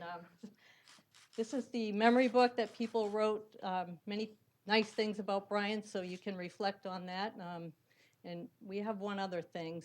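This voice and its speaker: A woman presiding at a city board meeting, giving gentle, halting ceremonial remarks in a council chamber. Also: medium pitch.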